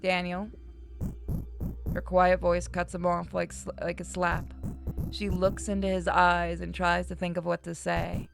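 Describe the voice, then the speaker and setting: A woman's voice: fairly low pitch, completely flat delivery, normal speed, gravelly, over music. A woman reading a story aloud expressively as a streamer, with casual asides. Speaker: streamer reading a visual novel aloud to chat.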